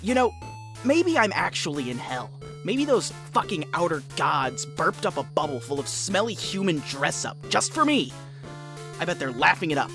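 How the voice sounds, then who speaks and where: A woman's voice: low voice, very animated, normal speed, over music. A woman voicing an irritable, foul-mouthed young male troll in a comic fan dub, abrasive and exasperated. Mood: exasperated ranting.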